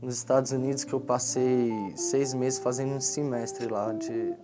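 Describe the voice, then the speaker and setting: A man's voice: medium pitch, normal speed. Young man talking over guitar music. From India, raised in Brazil from age eleven. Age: 20s. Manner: relaxed, conversational. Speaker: musician giving a testimony. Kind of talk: casual personal testimony.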